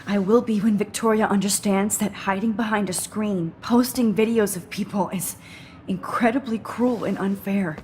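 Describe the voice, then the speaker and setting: Woman voicing an earnest teenage American girl, gentle, breathy, mid-pitched, video game drama, slight noise behind. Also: fairly even intonation, normal speed, natural voice. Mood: gentle, sincere. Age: young adult.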